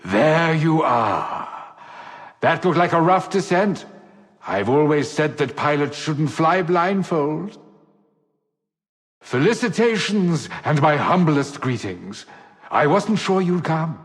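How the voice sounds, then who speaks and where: An actor voicing a flamboyant, teasing rogue operative in a video game, with florid, mock-gallant delivery. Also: male voice, fairly high pitch, sing-song, slow. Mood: playful greeting. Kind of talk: theatrical video game character acting. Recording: long echoing hall reverb.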